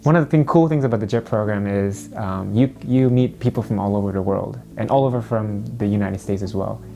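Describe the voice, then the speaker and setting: A person from California answers interview questions in an upbeat, enthusiastic way. The voice is mellow and sits at a middle pitch, with soft music behind it. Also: brisk, gravelly.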